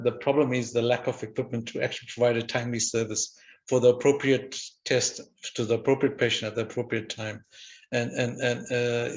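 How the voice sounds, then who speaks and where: An older man from Zimbabwe, a radiologist speaking on a webinar panel, who stops often and repeats his words. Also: mid-range voice. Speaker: diagnostic radiologist. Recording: dull lo-fi audio.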